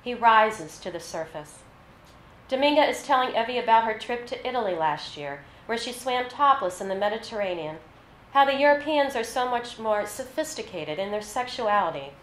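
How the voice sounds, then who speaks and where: A woman reading literary fiction aloud at a live event, with a measured, narrative delivery. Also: on the high side, animated. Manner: measured, scripted narration with read-out dialogue. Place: live reading in an echoey venue. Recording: roomy echo, faint background noise.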